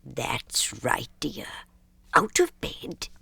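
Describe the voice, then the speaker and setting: Creaky, slow old foster mother. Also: a bass voice, sing-song, in a whisper, heavily acted. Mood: gently urging. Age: older. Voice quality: creaky, hushed. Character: old serving woman, tender, patient foster mother. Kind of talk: fantasy audio drama acting.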